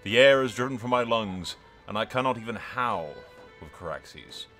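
A man's voice: on the low side, animated, moderate pace, bright, forceful tone, soft background music. A man hosting a gaming video, mixing dramatic role-play storytelling with sweary, casual strategy game commentary, in a theatrical manner.

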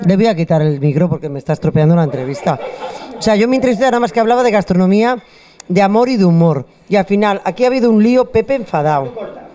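A woman's voice: mid-range voice, fast talker, dark tone. A middle-aged woman, hosting a food show in a bar, chatty and joking, speaking into a handheld mic. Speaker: host. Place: bar with wine shelves, indoors.

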